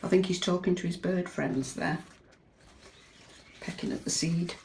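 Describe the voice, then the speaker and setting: A British woman teacher reading a picture book aloud to young children, expressive and chatty, often asking her listeners questions. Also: fairly low pitch, unhurried, creaky, background noise. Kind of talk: animated children's storytime read-aloud with chatty asides. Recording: echoey, reverberant room.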